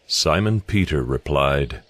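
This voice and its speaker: Deep-voiced man narrating scripture in a measured, expressive cadence. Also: dry recording.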